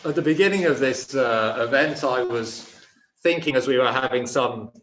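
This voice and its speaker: A man giving a relaxed, conversational speech at a conference. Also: mid-range voice, moderate pace. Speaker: conference speaker. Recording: echoey room.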